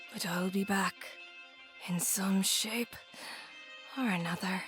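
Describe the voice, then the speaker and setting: A woman's voice: mid-range voice, close to an everyday voice. A woman playing a cruel, gloating immortal enchantress in an audio drama, taunting and theatrical, savoring her words. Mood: weakened, spiteful. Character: gloating, cruel immortal enchantress who transforms people into swans.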